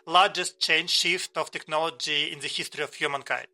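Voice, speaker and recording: Halting bright-voiced man in his fifties. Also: on the high side, steady tone. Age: 50s. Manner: halting, many fillers and restarts, non-native phrasing. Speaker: host. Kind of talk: casual interview talk.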